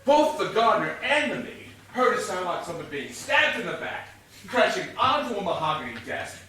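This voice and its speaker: An actor playing a bumbling, overconfident police inspector in a comic stage whodunit, blustering and slangy in manner. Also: male voice, high-pitched, sing-song, moderate pace, sharp tone, exaggerated voice. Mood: blustering, covering up. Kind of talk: comic stage play acting. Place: theatre stage, mic far off. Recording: distant, echoey theatre stage recording, faint hiss.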